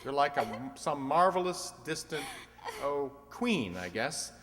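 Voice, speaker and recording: A man's voice: fairly high pitch, very animated, unhurried, fairly bright tone. A man playing an earnest, adoring, slightly stuffy fiancé in an amateur radio play. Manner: earnest, fawning. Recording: echoey hall, long reverb.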